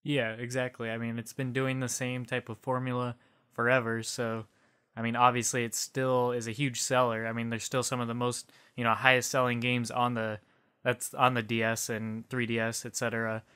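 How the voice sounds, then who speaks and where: A man's voice: mid-range voice, flat delivery, normal speed, pushed and bright, clean tone. A man co-hosting a podcast in casual, conversational chat. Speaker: podcast co-host.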